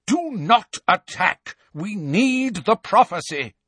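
Cold commanding dark wizard, terse and haughty; male narrator's character. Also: low-pitched, takes it slowly, no room sound, full character voice. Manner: clipped, commanding. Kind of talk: audiobook character voice.

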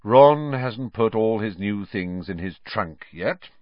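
A man's voice: on the low side, expressive, slow pace, no echo, dull audio. A man voicing a self-important older teenage boy with a lofty, long-suffering manner in an audiobook. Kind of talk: audiobook character voice.

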